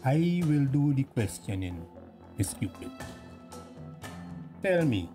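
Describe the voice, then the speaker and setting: A male village police constable with a Tobagonian accent, in radio drama style. He questions formally and slowly, in a mellow voice that rises and dips as he probes. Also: medium pitch, sing-song, soft background music. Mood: measured, probing. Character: measured, inquisitive village police constable, a man.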